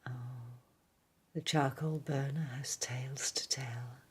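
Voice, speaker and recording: A woman reading poems aloud in a hushed, soothing whisper, as in ASMR. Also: extremely low pitch, steady tone, takes it slowly, breathy edge. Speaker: reader.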